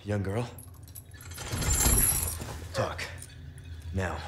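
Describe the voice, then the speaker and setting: An actor playing a stoic American action hero in a video game, with an American accent and a clipped, deadpan delivery. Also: male voice, low voice, drawn-out words, very noisy. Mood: curt, serious. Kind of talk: video game cutscene acting.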